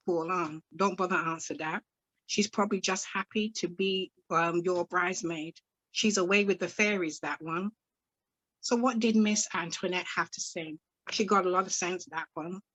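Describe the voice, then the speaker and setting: A woman's voice: lowish voice, a bit bright, dry recording, rough recording. Casting: hot-tempered older Barbadian mother, put on by a woman reading aloud, Barbadian accent, scolding, dry close sound. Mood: exasperated. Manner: rapid, exasperated questioning, old-fashioned sayings.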